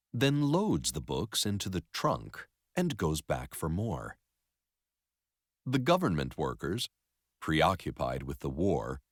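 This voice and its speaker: A man narrating a story in an expressive educational read-aloud style. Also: extremely low pitch, dry recording, clean studio sound.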